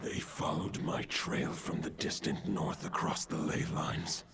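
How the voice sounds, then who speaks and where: An ancient wolf spirit speaks in a cavernous, gravelly bass. The weary guardian is grave and formal, taking each phrase slowly under loud background noise in a game scene. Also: male voice, level tone, heavily acted. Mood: grave, defiant. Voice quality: very deep, gravelly, breathy. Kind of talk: video game character acting. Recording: loud noise and ambience behind.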